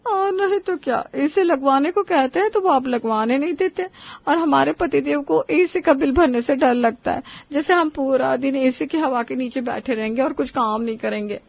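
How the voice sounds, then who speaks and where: A woman's voice: high voice, normal speed, vocal fry, no echo, dull audio. A woman playing a young, exasperated daughter-in-law with a dramatic way of talking in a cartoon story. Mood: fed up. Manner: complaining, dramatic. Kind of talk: acted dialogue in a cartoon story.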